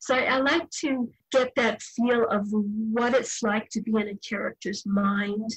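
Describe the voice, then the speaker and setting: Thoughtful older woman, husky and dry. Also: fairly high pitch, fairly even intonation, no room sound, rough recording. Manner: thoughtful, measured, occasional restarts. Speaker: novelist guest. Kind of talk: reflective interview answers.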